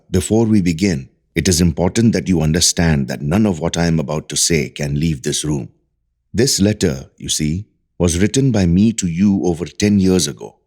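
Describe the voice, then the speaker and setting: A man's voice: low voice, darkish tone. A man playing a grave, secretive research doctor in an audio drama.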